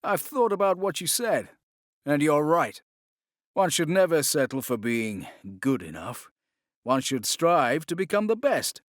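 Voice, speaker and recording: Menacing ambitious assassin, man's acted voice, terse, guarded, audiobook drama, lively pitch movement. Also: no echo, studio quality. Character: proud, ambitious, menacing male assassin turning traitor.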